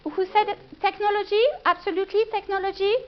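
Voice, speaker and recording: A woman's voice: very high-pitched, flat delivery, fast. A woman with a French accent, a business consultant giving a live presentation and chatting with the audience. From France. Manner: chatty, engaging, asks the room questions. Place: event room before a live audience. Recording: dull lo-fi event recording.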